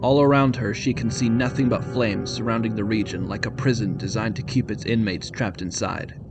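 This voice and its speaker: A man with a fairly low voice narrates a scripted audio drama. He tells the story in descriptive prose over clearly audible music. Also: fairly even intonation, moderate pace.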